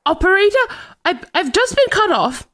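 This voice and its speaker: Woman acting a high-pitched, frail, nervous, imperious wife. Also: big pitch swings, normal speed, a bit bright, full character voice. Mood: horrified, frantic. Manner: agitated, insistent, haughty. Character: nervous sickly wife alone at home, shrill and imperious. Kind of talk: old-time radio drama acting.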